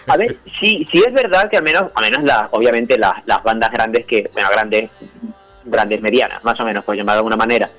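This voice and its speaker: A man's voice: on the low side, fairly quick, sharp tone, faint music behind. A man from Venezuela, a band founder, answering interview questions hesitantly, with many restarts. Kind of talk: casual interview over a call.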